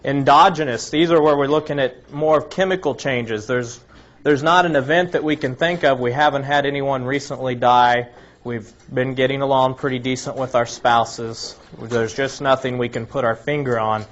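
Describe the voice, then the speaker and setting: A man lecturing to a room in a slightly raspy, mid-pitched voice, working through his points one item at a time with frequent restarts. The recording is dull and lo-fi. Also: fairly even intonation, moderate pace. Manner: explanatory, lists items one by one, frequent restarts. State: slightly raspy from a recent cold. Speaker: health presenter. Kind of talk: informal lecture to a live audience.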